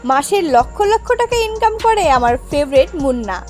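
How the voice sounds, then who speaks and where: A woman narrates a scripted video as its host. Her voice sits very high and moves with animated intonation as she addresses viewers directly. Music plays faintly behind her. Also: brisk. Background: background music.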